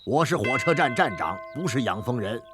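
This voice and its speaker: A man voicing a curt, officious railway stationmaster in an animated folk tale, dismissive and indifferent. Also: medium pitch, a little fast, music in the background, lightly acted.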